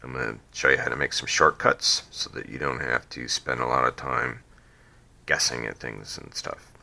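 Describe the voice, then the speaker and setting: A man with a cold, sniffly and croaky, casually explaining a drone build at his workbench, rambling with many ums and restarts. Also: very deep voice, fairly even intonation, normal speed, bright, forceful tone. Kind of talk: casual how-to build video.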